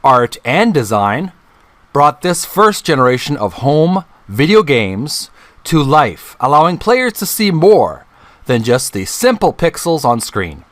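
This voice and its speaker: A middle-aged man hosting a channel, reading a book aloud in a deliberate, halting way, phrase by phrase. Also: fairly high pitch, sing-song, no room sound. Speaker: video channel host.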